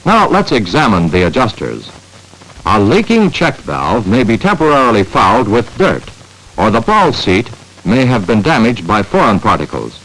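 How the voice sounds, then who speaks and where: An American man narrating a 1950s instructional training film in a measured, emphatic, scripted manner, on a vintage film soundtrack. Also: lowish voice, huge pitch swings, a bit bright, rough recording.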